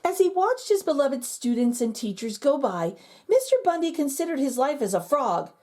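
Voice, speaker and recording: A woman reads a children's picture book aloud with an expressive storyteller's delivery. Her voice sits high and swoops up and down with lively energy. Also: moderate pace. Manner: expressive and animated.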